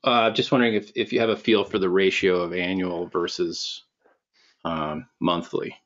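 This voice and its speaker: A man hosting a podcast, asking questions in a somewhat low voice. He eases in with fillers and repeats his openings. The sound is slightly muffled and dull. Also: normal speed. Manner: relaxed, with fillers and repeated starts. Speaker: podcast host. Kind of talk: casual interview questions.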